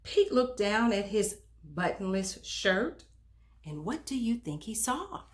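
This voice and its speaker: A woman reads a children's storybook aloud as host, in an expressive, emphatic manner that speaks directly to young listeners. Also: mid-range voice, takes it slowly. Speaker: children's book reader and host.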